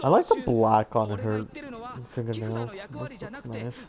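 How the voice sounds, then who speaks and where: A woman's voice: extremely low pitch, very animated, measured pace, a crowd in the background. A woman giving casual, sweary gameplay commentary with an irreverent, darkly joking manner. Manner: crude, irreverent dark humour, giggly. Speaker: gamer, streamer.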